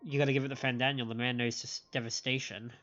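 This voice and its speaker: A male streamer chats and jokes casually through a game playthrough. His mid-pitched voice comes through slightly muffled, with faint music behind it. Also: flat delivery, quick pace, fairly bright tone. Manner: joking, chatty. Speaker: live streamer, host.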